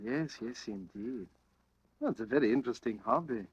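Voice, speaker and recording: An actor playing a jovial yet slyly menacing stranger, chatty and familiar, in an old-time radio thriller. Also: male voice, medium pitch, moderate pace, breathy edge, natural voice. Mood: breezy, chatty. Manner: chatty, sly, chuckling. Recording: dull, boxy old radio recording.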